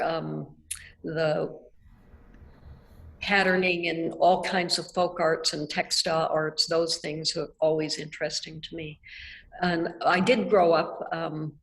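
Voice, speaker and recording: An older woman from Nebraska, answering questions in a reflective, measured way over a video call. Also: low voice, poor audio. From Nebraska, United States. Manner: reflective, measured, with soft laughs. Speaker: artist guest. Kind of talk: thoughtful conversational answers. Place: art gallery, indoors. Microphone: video call webcam.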